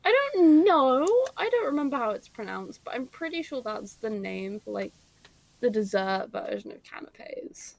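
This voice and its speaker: A woman's voice: fairly high pitch, big pitch swings, moderate pace, creaky, no echo, poor audio. A young woman with an English RP accent, streaming a game and chatting casually, explaining things at length with plenty of ums. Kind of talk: casual gaming chat.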